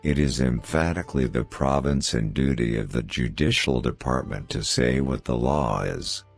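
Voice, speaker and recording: A man reads famous quotations as a scripted narration, in a measured, formal manner. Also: rumbling low, gravelly. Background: background music.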